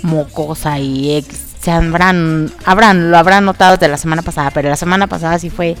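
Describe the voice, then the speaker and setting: A woman's voice: deep voice, fairly even intonation, a little fast, faint music behind. A woman hosting an online radio show, nasal and congested from allergies, chatting casually with many fillers and giggles. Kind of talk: casual radio chat about K-dramas.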